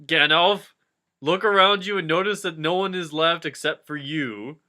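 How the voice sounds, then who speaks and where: A man's voice: on the high side, normal speed, bright, forceful tone, voice pushed up. A man voicing a small, whiny, falsely helpful hero with an eager, pleading manner in a comic fan-fiction reading.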